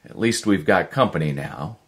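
A man's voice: deep voice, expressive, moderate pace, fairly bright tone, breathy edge, full character voice. A man voicing a lonely, desperate man in a story, earnest and pleading. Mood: relieved.

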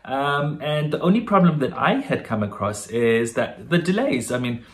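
This is a man with a South African accent who is talking casually to viewers. He has a mid-pitched voice and a relaxed manner, with lots of fillers and quick laughs between his thoughts. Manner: chatty, with frequent fillers and laughs; switches between German and English. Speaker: vlogger. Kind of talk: casual talk to camera.

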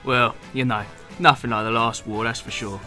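An actor playing a plain-spoken, defiant, war-hardened British pub regular in a radio drama. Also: male voice, medium pitch, fairly even intonation, moderate pace, sharp tone. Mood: stoic, defiant. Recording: music behind the voice.

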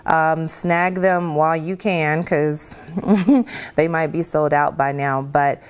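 Chatty low-voiced woman fashion vlogger. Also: takes it slowly. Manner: chatty, animated, addresses viewers as y'all. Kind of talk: casual fashion vlog talk. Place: home kitchen.

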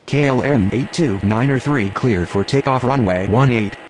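An actor playing a businesslike air traffic radio speaker in a game, formal and procedural, in clipped aviation phraseology. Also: male voice, medium pitch, moderate pace, a little mellow, lightly acted. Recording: faint vehicle and ambient noise.

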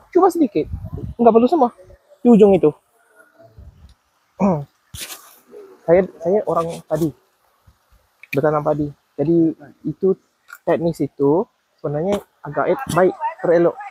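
A man's voice: fairly high pitch, warm tone, husky, lo-fi sound. A Malaysian man with a Malaysian accent chatting outdoors in rice paddies, curious and asking questions, repeating answers back. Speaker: vlogger. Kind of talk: casual walking vlog chat. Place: outdoors in rice paddy fields. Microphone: body-worn action camera.

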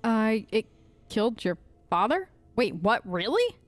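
A woman's voice: fairly high pitch, big pitch swings, a little slow, faint music behind. A woman giving role-play commentary as she plays a game, with hesitant, conversational asides. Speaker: let's player. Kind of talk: role-play gaming commentary, reading in-game text aloud.

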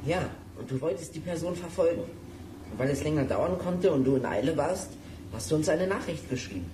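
A clever, precise boy detective, acted by a man in a radio play for young listeners. The voice sits fairly high, with clear background noise around it. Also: expressive, a little fast, natural voice. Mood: matter-of-fact. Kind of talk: youth audio drama acting.

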